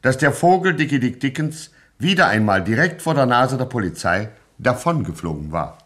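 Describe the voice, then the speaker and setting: A man's voice: medium pitch, sing-song, moderate pace, fairly bright tone. A man narrating a radio play in a melodramatic, mock-epic and suspenseful manner.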